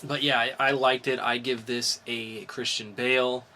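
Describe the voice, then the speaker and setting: A man's voice: medium pitch, little pitch movement, moderate pace, sharp tone, slight background noise. A man co-hosting a podcast, chatting casually and explaining things about comics in an informal way. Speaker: podcast co-host reviewing comics. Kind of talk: casual chat.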